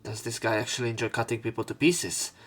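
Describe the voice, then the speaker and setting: A man's voice: medium pitch, steady tone, fast. A man reading a visual novel aloud as a let's play narrator, dramatic in the story parts and casual in his commentary. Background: game music.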